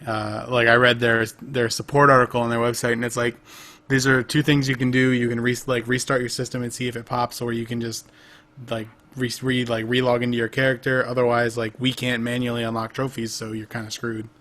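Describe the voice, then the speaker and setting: A man's voice: medium pitch, level tone, a little fast, a bit bright. A man co-hosting a podcast, chatting casually about games over a remote call, rambling with lots of fillers and restarts. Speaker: podcast co-host. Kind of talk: casual gaming chat.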